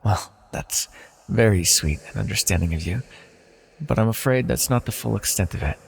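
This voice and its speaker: Man acting a gravelly, seductive ancient villain god. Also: moderate pace, in a large hall, heavily acted. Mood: regretful, apologetic. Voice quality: gravelly, breathy low voice. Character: ancient godlike male villain, seductive, softly manipulative. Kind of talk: intimate ASMR fantasy audio roleplay.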